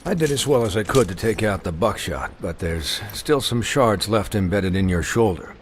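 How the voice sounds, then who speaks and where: A man performs a doctor with a lowish voice, in a game drama. He is measured and instructive, kindly yet firm, with faint noise in the background. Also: lively intonation, lightly acted. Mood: calm, advising. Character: measured, kindly but firm male physician. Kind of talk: video game voice acting.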